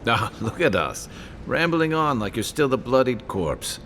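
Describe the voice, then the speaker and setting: A man playing a gruff, wry and loyal travelling companion in a fantasy video game, talking in a conversational, dryly humorous way. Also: mid-range voice, sing-song, unhurried, fairly bright tone, soft background music. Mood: wry, protective.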